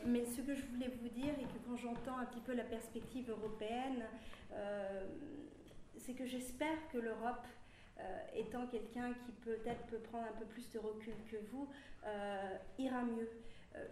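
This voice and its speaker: A woman's voice: a little high, fairly even intonation, measured pace, mellow, a little hiss or hum. A woman from Turkey speaking in a public discussion, with many hesitations and fillers. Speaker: panelist. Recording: roomy, distant from the mic.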